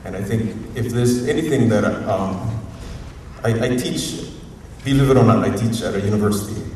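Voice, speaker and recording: A university professor from Hawaii with a Hawaiian accent, giving a rambling, informal, unscripted talk at a community forum and often chuckling. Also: male voice, fairly low pitch, normal speed, a little mellow. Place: museum auditorium. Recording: echoey hall, distant mic, faint noise.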